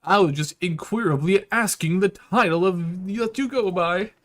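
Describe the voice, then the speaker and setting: A man's voice: high-pitched, normal speed, pitched above the performer's own voice, lightly acted. A man voicing an arrogant, pompous corporate executive from a visual novel, with florid, grandiose delivery. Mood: grandiose, smug. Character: pompous, arrogant male corporate executive with mangled big words. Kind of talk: visual novel villain read aloud.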